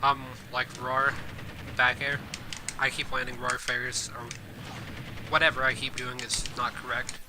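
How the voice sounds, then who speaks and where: A man giving casual gameplay commentary as a streamer, rambling with fillers and self-corrections, his voice a bit hoarse. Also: mid-range voice, level tone, measured pace, long pauses, background noise. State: hoarse from coughing. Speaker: streamer and game guide host. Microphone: desk mic.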